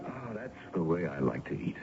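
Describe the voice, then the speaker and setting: Casting: worldly older colonel wooing in a radio play, low gravelly voice, courtly and theatrical. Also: male voice, big pitch swings, moderate pace, over loud music, full character voice. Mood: wistful, romantic. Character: older colonel, ardent suitor, worldly and persuasive. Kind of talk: old-time radio drama acting. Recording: dull, noisy vintage radio recording.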